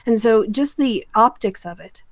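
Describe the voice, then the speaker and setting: A woman's voice: fairly high pitch, lively intonation, moderate pace, dry recording. A woman hosting a panel discussion, explaining her opinions in hesitant, searching phrases. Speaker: podcast host. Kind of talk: panel discussion on a remote call.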